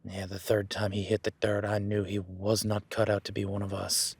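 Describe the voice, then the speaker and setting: A man's voice: on the low side, monotone, moderate pace, husky, dry recording. A man voicing an easygoing male cowboy ranch hand in an audio roleplay, talking in a laid-back, folksy way with casual swearing.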